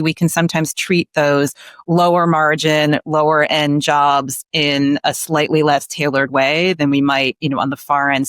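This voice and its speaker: A woman's voice: deep voice, no room sound, clean studio sound. A middle-aged woman giving candid, conversational commentary as an interview guest, talkative and full of asides. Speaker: staffing industry researcher, podcast guest.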